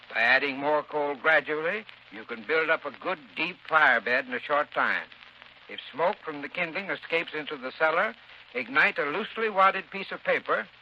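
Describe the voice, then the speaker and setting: A man giving scripted 1930s radio advice as a sponsor's expert, formal, instructional and clearly enunciated. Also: fairly high pitch. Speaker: heating expert in a sponsor spot. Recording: muffled 1930s radio broadcast, faint noise.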